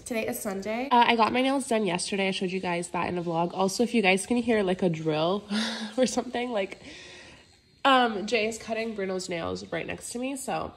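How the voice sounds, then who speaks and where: A young woman vlogger chatting casually to camera with a stuffy, congested, raspy voice, gushing and filling pauses with "like" and "yeah". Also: mid-range voice. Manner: bubbly, gushing, many fillers like "yeah" and "like". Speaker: lifestyle vlogger.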